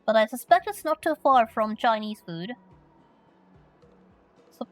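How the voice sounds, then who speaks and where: A woman from Finland streaming a story game, chatting playfully and reading questions aloud. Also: medium pitch.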